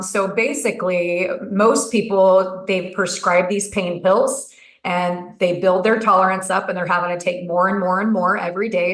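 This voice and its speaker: A woman's voice: lowish voice, a bit bright, echoey room. A woman hosting a talk show, speaking earnestly and somewhat hesitantly, with many fillers. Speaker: host. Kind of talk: casual podcast talk.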